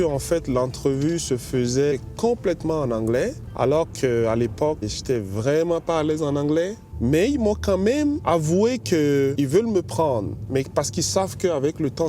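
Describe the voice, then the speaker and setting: A man's voice: medium pitch, dark tone. A man from Benin with a Beninese French accent, giving a personal testimonial in an interview. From Benin, West Africa. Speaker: bank account manager. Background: music bed.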